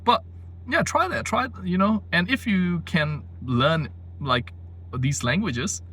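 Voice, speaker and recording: A man's voice: high-pitched, animated, slow, pushed and bright, a little hiss or hum. A man giving a blunt, rambling opinion monologue as a language-learning vlogger, full of fillers and restarts. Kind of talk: casual opinion monologue to camera. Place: home in Japan.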